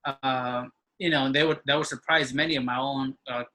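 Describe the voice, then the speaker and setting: A man in his twenties, an interview guest, talking with halting speech and frequent restarts. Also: mid-range voice, steady tone, sharp tone. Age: 20s. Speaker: interview guest, engineering student. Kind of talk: casual conversation.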